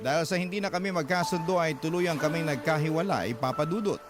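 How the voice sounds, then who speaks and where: A man's voice: on the high side, fast. Male reader, earnest confessional letter narration, music bed underneath. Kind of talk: first-person letter narration.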